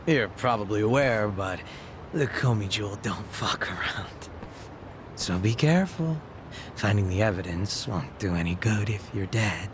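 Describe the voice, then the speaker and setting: An actor playing a sly, mocking gang boss who makes gleeful threats in a video game dub. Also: male voice, lowish voice, lively intonation, measured pace, noisy background. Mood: mocking, giddy. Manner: casual profane taunting.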